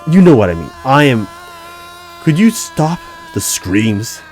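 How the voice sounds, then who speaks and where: A man playing an unnerved, exasperated hiring manager in a one-sided audio roleplay, with halting speech that repeats words. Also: mid-range voice, big pitch swings, a little mellow, faint music behind, rough recording. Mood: exasperated, pleading.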